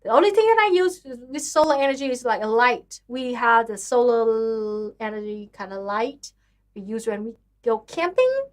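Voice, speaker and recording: A woman's voice: fairly high pitch, expressive, moderate pace. A young woman with a Vietnamese accent, chatting casually and apologetically on a livestream, sounding tired. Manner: chatty, apologetic, self-deprecating. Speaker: livestream host. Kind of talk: casual livestream chat with singing.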